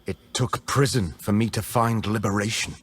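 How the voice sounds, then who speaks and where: A man playing a bitter, defiant rebel mage in a video game, speaking deliberately and dramatically. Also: lowish voice, fairly even intonation, a bit bright, breathy edge. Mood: wry resolve. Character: defiant adult male mage rebel, bitter, grimly intense. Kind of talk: video game character voice lines.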